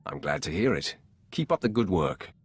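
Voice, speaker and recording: Commanding game villain lord, deep, rough-edged husk, imperious, cold, terse. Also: male voice, big pitch swings, normal speed, heavily acted. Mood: curt, commanding. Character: commanding, stern male Sith lord. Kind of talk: video game character acting.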